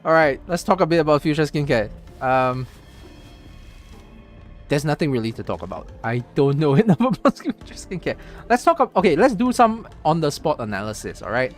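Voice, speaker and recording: A man's voice: fairly high pitch, sing-song, moderate pace, soft background music. A man from Singapore giving casual live-stream commentary on a game's story, thinking aloud with lots of fillers and restarts. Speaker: streamer reacting to a game story.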